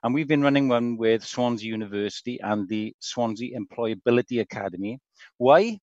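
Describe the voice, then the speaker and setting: A man's voice: mid-range voice, brisk, darkish tone, no echo. Male podcast host, eager and upbeat, dry dull call audio. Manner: enthusiastic, talks up guests. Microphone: video call.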